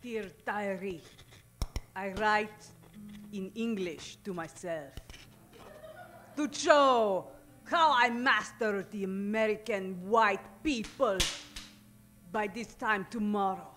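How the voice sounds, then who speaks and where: A woman's voice: medium pitch, fairly bright tone, soft background music. A woman playing a scheming, haughty Mexican villainess who frightens easily, in a melodramatic comedic old-time radio style. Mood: grandly determined. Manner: melodramatic, halting delivery.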